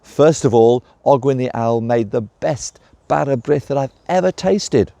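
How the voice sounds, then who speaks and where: Excited female dragon voiced by a man, gushing, higher pitch, mellow dry tone. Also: measured pace, creaky, no room sound. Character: enthusiastic young female dragon sister.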